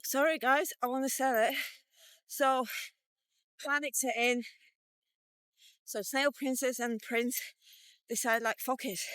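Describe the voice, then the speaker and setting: Woman in her 50s doing a walk and talk fairy tale story, slow n halting, bit high voice, blunt and casual. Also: no echo. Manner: informal, blunt, halting storytelling in short phrases. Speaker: vlogger. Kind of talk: personal story told as a fairy tale while walking. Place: recorded while walking.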